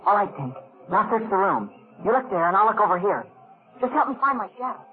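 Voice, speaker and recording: A man's voice: high-pitched, big pitch swings, brisk. A man voicing a cocky, impatient storybook boy in an old radio play, blunt and bossy. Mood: brisk, impatient. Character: cocky, impatient, adventurous storybook boy who never grows up. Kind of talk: old-time radio acting. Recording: narrow-band old radio recording, loud music.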